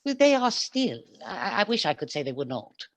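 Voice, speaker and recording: A woman's voice: fairly low pitch, sing-song, normal speed, no echo. A woman playing a polite, concerned charity collector with a formal, earnest manner in a radio play.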